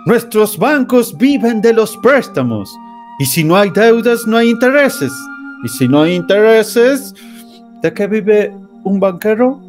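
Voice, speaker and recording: A man plays an arrogant banker who lectures with scorn, his voice high and swinging widely up and down. He speaks slowly, leaning on each word. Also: animated, faint music behind. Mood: scornful. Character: arrogant British banker, contemptuous and cold. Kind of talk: amateur radio drama.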